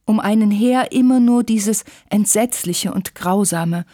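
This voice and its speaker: A woman's voice: mid-range voice, expressive, unhurried, warm tone, dry recording, clean studio sound. Woman acting a plaintive, overwrought young war widow. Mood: anguished. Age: young adult. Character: young war widow, self-pitying, passionate, fragile, dramatic.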